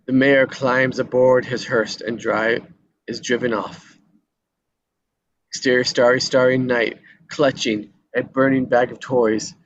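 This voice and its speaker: A man's voice: mid-range voice, level tone, unhurried. A man narrates screenplay action in a mid-range voice. He reads scripted descriptions for a table read. The audio is slightly dull with faint noise.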